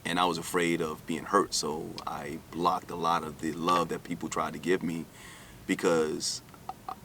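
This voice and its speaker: A low-voiced man from the United States shares personal memories as an interview guest. His delivery is candid and conversational, and he often repeats phrases as he goes. There is faint noise behind him. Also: level tone, normal speed, pushed and bright. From United States, born in New York, raised in Alabama.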